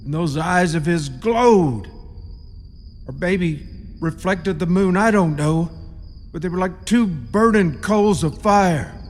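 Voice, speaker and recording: Male actor as spooky country tomb keeper for radio horror; unhurried, folksy, ominous, fairly high voice in long reverb. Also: faint music behind. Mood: haunted, ominous. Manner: halting, ominous, folksy storytelling. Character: eerie rural male caretaker of a haunted tomb. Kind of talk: radio play horror storytelling. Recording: reverberant radio drama, audible background noise.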